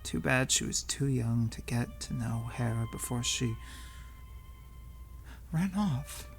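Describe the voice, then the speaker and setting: A man's voice: medium pitch, slow, creaky, over music. A man playing a smug, scheming boss in a drama, mocking and politely menacing in his delivery. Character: smug, scheming male boss who manipulates and blackmails. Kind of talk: acted dramatic dialogue.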